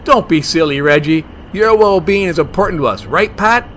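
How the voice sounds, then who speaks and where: An actor playing a fussy, germophobic monster hunter, blunt and bossy, in a comedy horror audio drama. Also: male voice, fairly high pitch, moderate pace, slight background noise, natural voice. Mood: dismissive, deadpan. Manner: deadpan, argumentative.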